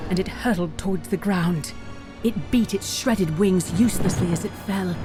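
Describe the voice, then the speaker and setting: A woman's voice: on the low side, close to an everyday voice. A woman playing the storyteller of a fantasy audio drama, narrating a heroic tale in a grave, dramatic scripted style. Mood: solemn. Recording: music bed under the voice. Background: prominent dramatic music.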